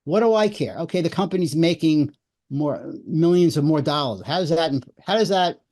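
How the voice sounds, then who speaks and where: A man's voice: a little high, animated, normal speed, a little mellow. A man speaking as a podcast guest, a business writing coach who explains his points conversationally, often repeating words as he thinks. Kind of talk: podcast interview.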